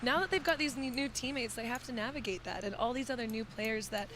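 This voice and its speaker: Young woman, esports caster, upbeat, friendly, higher voice, noisy background. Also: fast talker. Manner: upbeat, friendly, with light laughs. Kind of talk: tournament commentary.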